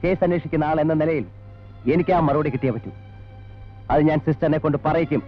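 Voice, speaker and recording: An actor playing a stern, methodical police investigator in an old Malayalam film, formal and insistent. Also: male voice, on the high side, steady tone, quick pace, lightly acted. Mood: insistent, pressing. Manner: formal, insistent, mixing in English words. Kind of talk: 1980s Malayalam film acting. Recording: dull old film soundtrack with music.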